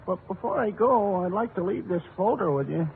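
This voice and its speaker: An eccentric insurance salesman in an old radio comedy, pitched high with a creaky edge. He sells earnestly and deadpan, heard through a tinny, band-limited vintage recording. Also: fairly quick, slight background noise. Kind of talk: 1940s radio comedy acting.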